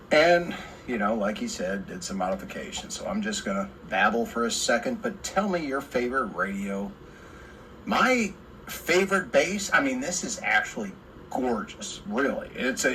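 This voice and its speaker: Male technician-presenter, average pitch, casual and rambling hands-on commentary with some background noise. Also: fairly bright tone, low-quality recording. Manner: rambling, repetitive, enthusiastic about the equipment.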